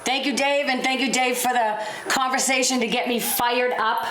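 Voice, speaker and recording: Hoarse lady host, high voice, pushy and teasing, echoey room, bit of hiss. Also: steady tone, moderate pace, fairly bright tone. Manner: brash, teasing, pushy with callers. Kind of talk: call-in public access talk show.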